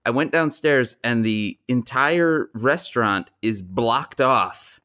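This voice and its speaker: A man co-hosting a podcast with a deep, just-woken, talked-out voice, telling rambling, self-deprecating comic anecdotes casually. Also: lively intonation, takes it slowly, sharp tone. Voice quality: deeper than his usual, morning voice. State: just woke, throat tired from talking. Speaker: podcast co-host.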